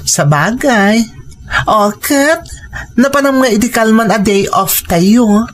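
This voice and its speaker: Woman doing a chatty girl best friend, teasing, super animated, exclaims a lot, radio drama. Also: fairly high pitch, takes it slowly. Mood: teasing, incredulous. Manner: expressive, exclaiming. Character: chatty, teasing female hotel co-worker and candid friend. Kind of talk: Ilocano audio drama acting.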